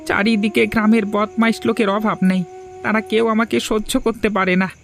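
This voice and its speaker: Dejected poor village dad acted by a man, creaky voice, flat intonation, sorrowful cartoon delivery. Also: high voice, moderate pace. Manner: sorrowful, resigned. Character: dejected poor village father, a street entertainer. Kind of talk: Bengali moral-story cartoon dubbing.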